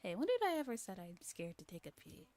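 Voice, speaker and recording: A young male visual novel protagonist, played by a woman. He talks in a lowered, curt voice with a gruff, grudging grumble. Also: very animated, fast, a little mellow, close to an everyday voice. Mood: indignant.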